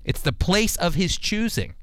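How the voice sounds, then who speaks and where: An American man teaches the Bible as a radio host. His voice is clear and smooth, recorded close and dry in a studio. He speaks conversationally but earnestly, leaning on key words like a preacher. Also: high-pitched, animated, unhurried, no room sound. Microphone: close studio microphone.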